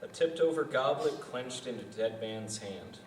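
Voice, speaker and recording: A man's voice: medium pitch, expressive, moderate pace. A man reading stage directions at a live table read in a plain, matter-of-fact way. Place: festival venue room. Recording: echoey room, distant mic, faint noise.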